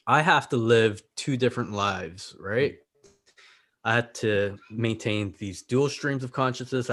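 A man's voice: medium pitch, a bit bright. A man, whose was Korean, talking as a podcast guest in a reflective, earnest way and often checking in with "right?". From Canada. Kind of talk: casual conversation.

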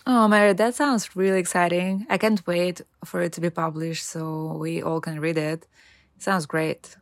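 A woman's voice: fairly low pitch, steady tone, moderate pace, dry recording, clean studio sound. A woman hosting a podcast, introducing the episode and asking her guests questions. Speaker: podcast host.

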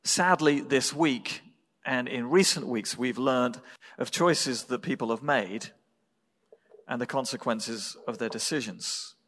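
A man with an English accent preaching a sermon as a pastor, speaking in measured, deliberate phrases with pauses. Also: medium pitch, a bit bright. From England. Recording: reverberant hall.

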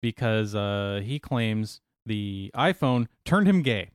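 A man's voice: on the low side, animated, takes it slowly, clear, resonant tone, no echo, clean studio sound. A man co-hosting a casual podcast, reading news stories aloud with playful teasing.

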